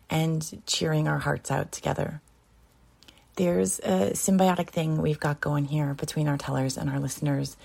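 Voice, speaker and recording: A woman giving a scripted introduction to a live storytelling show, measured and unhurried, lingering on listed words with soft, breathy stresses. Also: low-pitched. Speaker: show host.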